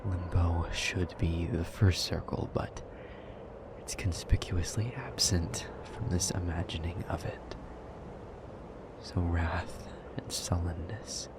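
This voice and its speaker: Man acting a hushed, deep-voiced brooding demon lover. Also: low-quality recording. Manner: hushed, breathy, measured, brooding delivery. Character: brooding male demon lover, tender, sardonic, wounded. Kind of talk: intimate audio roleplay acting.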